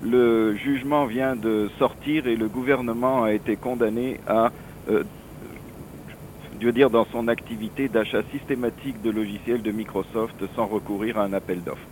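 A mature man with a French accent from France speaks as an interview guest over the telephone, with faint noise on the line. His explanations are halting and digressive, in a mid-pitched voice. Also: fairly even intonation, darkish tone, poor audio. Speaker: association president, interview guest. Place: office, on the phone.

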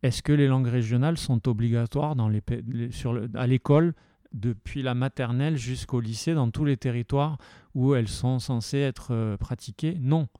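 Impassioned French-speaking man, very dark voice. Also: medium pitch, normal speed, no room sound, clean studio sound. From Occitan-speaking region of France. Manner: impassioned, many hesitations and restarts. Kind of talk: passionate casual talk.

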